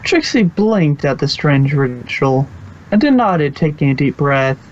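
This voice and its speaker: A man's voice: fairly high pitch, very animated, unhurried, lo-fi sound. A man reading scripted storybook narration for a fan fiction audio production.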